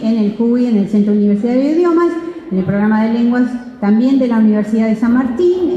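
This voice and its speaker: A woman's voice: a little high, dark tone. A woman moderating a panel, introducing the next speaker by running through her credentials. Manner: reads credentials in a quick list. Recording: dull, echoey hall with long reverb.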